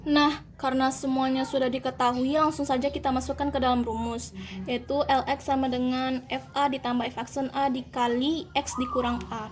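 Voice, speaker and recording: A young woman, a university student, working through calculus problems step by step, pausing to correct herself. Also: sits high, flat delivery, a little hiss or hum. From Indonesia. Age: young adult. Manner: methodical, step-by-step, self-correcting. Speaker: university student explaining homework. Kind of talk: calculus walkthrough.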